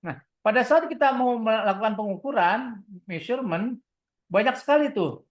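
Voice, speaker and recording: A woman's voice: fairly high pitch, animated, normal speed. A woman with an Indonesian accent leading an online equipment tutorial step by step, often posing questions and answering them herself in an instructional way. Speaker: product trainer giving a software tutorial.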